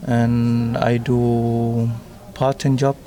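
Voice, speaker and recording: Slow-talking young man, flat voice. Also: mid-range voice. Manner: slow, simple broken English. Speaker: student interviewee. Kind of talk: answering interview questions.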